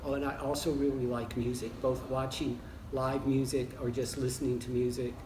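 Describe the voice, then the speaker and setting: A semi-retired American man in his sixties, answering interview questions in a relaxed way with frequent pauses and restarts. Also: medium pitch. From United States. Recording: roomy, distant mic with background noise.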